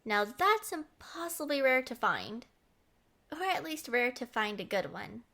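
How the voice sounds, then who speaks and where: A woman's voice: on the high side, big pitch swings, measured pace, vocal fry, bright, forceful tone, exaggerated voice. A woman playing a smitten, possessive young princess in a romantic roleplay audio, coy, entitled and playfully defensive. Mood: haughty, pleased.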